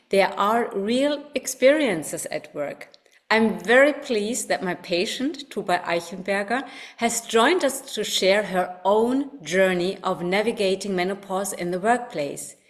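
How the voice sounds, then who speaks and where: A woman doctor moderating a webinar, introducing her guest in a measured, formal way. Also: medium pitch.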